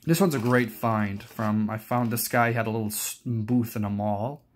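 A man's voice: lowish voice, moderate pace, slight background noise. A young adult man giving a casual, unscripted show-and-tell, rambling hesitantly and often correcting himself. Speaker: collector presenting his cassette collection.

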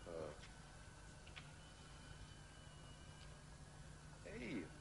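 Very deep, feeble patient. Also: male voice, huge pitch swings, slow pace, gravelly, heavily acted. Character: weak, ailing patient, languidly solicitous. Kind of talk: 1960s Italian television drama acting. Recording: noisy old 1960s TV soundtrack.

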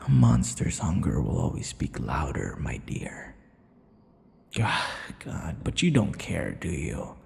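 Guy doing a creepy yandere vampire boyfriend, asmr roleplay, taunting and whispery, swoopy pitch, big echoey reverb. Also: huge pitch swings, darkish tone, a little hiss or hum, full character voice. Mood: menacing, tender. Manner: taunting, drawn-out, slipping into breathy whispers. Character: possessive, sadistic yandere male vampire captor. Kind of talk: intimate ASMR roleplay acting. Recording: long hall-like reverb.

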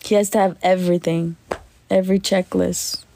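A woman in her twenties chatting casually on a livestream, lying in bed and answering comments with lots of fillers, in a voice she calls deep. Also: little pitch movement, moderate pace, vocal fry, mellow, slight background noise. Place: bedroom, lying on a bed indoors. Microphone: phone at arm's length.

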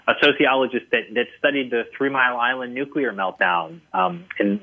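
A man being interviewed as a book's author, answering thoughtfully with many fillers and restarts. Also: mid-range voice. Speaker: guest author. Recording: tinny phone-line audio.

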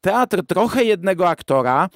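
Higher-pitched man chatting on a podcast. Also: lively intonation, normal speed, no room sound, clean studio sound. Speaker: podcast co-host. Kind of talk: casual review discussion. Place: virtual studio, remote recording.

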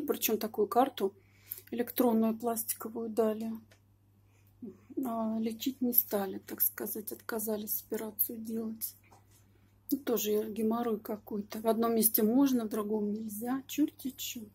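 A middle-aged woman talking casually and matter-of-factly about her own affairs, rambling with muttered asides, filming from her high-rise apartment window. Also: level tone, moderate pace, mellow, slightly rough. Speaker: vlogger. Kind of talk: casual personal monologue. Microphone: phone camera pointed out the window.

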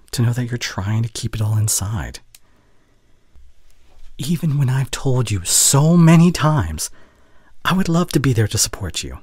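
A man playing a caring, worried boyfriend in an intimate audio roleplay, with a soft, breathy voice and tender, halting delivery close to the microphone. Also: mid-range voice, dry recording, full character voice. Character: caring, worried young boyfriend, gentle and earnest. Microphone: close studio condenser microphone.

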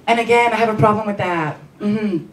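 A woman's voice: mid-range voice, a little fast. A young woman with a Belgian accent tells stand-up stories in a playful, performative way, acting out dialogue. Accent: non-native English, Belgian. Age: young adult. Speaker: stand-up comedian. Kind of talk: stand-up comedy storytelling. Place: small comedy club stage, indoors. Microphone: handheld stage mic, recorded by distant camera. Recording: roomy, distant, noisy club recording.

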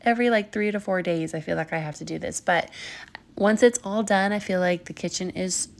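A woman's voice: on the low side, a little fast, gravelly. A young woman vlogger talking casually to her viewers about cleaning and home life, full of "like" and "um". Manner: chatty, many fillers like "like" and "um". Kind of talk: casual talk to camera.

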